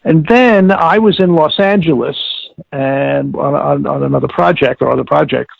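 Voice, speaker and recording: An older man being interviewed, recalling past work in a halting, deliberate, wordy way and often correcting himself. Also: on the high side, vocal fry, no echo. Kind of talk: reminiscing interview. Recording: tinny narrowband call, like old radio.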